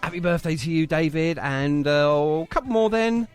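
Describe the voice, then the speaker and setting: Jovial male radio host, very animated pitch. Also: moderate pace. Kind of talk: chatty radio presenting. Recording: music playing underneath the voice. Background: music bed.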